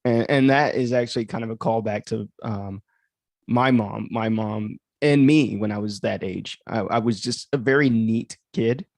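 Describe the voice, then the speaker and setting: A man's voice: on the low side, moderate pace, darkish tone, no room sound, studio quality. A man hosting a livestream in casual, rambling chat, commenting on his own audio drama and easily amused.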